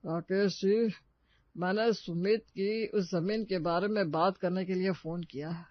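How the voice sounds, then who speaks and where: High-voiced coaxing village headman, played by a man. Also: fairly even intonation, no echo, muffled recording. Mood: persuasive. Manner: persuasive, drawn-out, coaxing. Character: persistent middle-aged village headman bargaining for land.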